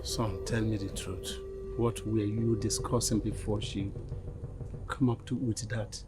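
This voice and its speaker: Man doing a calm old dad talking slow and soothing, music playing behind. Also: fairly low pitch, close to an everyday voice. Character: calm older father counseling his son.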